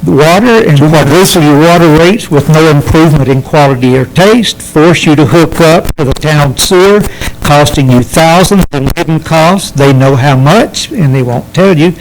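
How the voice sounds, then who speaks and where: A man speaking at a town council meeting, with a sharp, accusatory tone of warning. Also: fairly high pitch, moderate pace, poor audio. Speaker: resident speaking at public comment.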